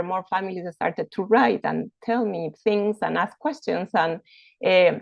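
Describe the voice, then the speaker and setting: A woman in her forties from Ecuador, an educator giving a casual introduction on a video call, thoughtful and pausing to find her words. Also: medium pitch, gravelly, dry recording, highs cut off. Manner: thoughtful, searching for words, many fillers. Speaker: educator and homeschooling parent.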